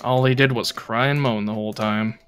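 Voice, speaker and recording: A woman's voice: very deep voice, steady tone, brisk. A woman playing a dry, sardonic animatronic who talks back in a game roleplay.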